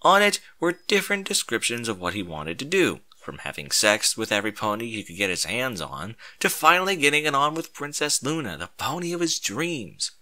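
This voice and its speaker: A man's voice: medium pitch, very animated, fairly quick, hardly any pauses, bright, forceful tone. A man narrating a comedic fan-fiction story with mock-epic, theatrical emphasis.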